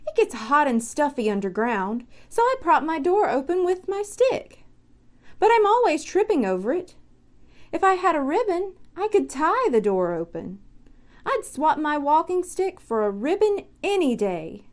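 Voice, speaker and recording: A woman voicing a fussy storybook badger in a brisk, complaining manner. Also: sits high, sing-song, voice pushed up. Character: fussy badger bothered by stuffy burrow.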